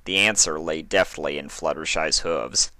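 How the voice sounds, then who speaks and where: A man's voice: extremely low pitch, moderate pace, sharp tone, dry recording. A man narrating a written story as a dramatic reading. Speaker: fan fiction reader.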